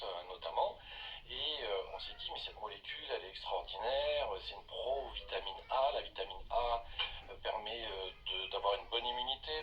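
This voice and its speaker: A man's voice: mid-range voice, a bit bright, dull audio. A male doctor explaining things emphatically and didactically as a guest in a radio interview. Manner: didactic, emphatic, restates points. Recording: slightly distant radio sound, faint noise.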